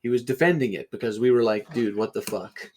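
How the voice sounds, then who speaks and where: Man, mid-pitched voice, casual storyteller, rambling, self-correcting, sardonic joking. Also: brisk, a little mellow, a little hiss or hum. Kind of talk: casual joking banter among friends.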